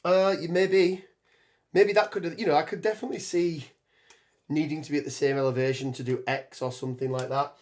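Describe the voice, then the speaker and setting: A man with a hoarse voice from a cold, chatting casually on a live stream while building terrain, with lots of ums and restarts. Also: on the high side, steady tone, brisk, a little mellow. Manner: rambling, many ums and restarts, reads chat aloud. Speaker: tabletop gaming streamer.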